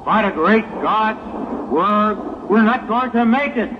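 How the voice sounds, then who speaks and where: An actor playing a commanding, devout sea captain in a 1960s audio drama, with emphatic declamatory delivery. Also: male voice, sits high, expressive, a little slow. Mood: alarmed, commanding. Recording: muffled, noisy old 1960s audio drama recording.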